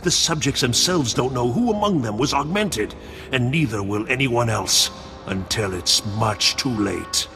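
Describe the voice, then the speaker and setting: An actor playing a grandiose, menacing cyborg mad scientist in a video game, speaking with theatrical contempt. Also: male voice, medium pitch, animated, measured pace, gravelly. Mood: threatening, boastful. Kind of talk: video game cutscene acting. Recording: long hall reverb over music.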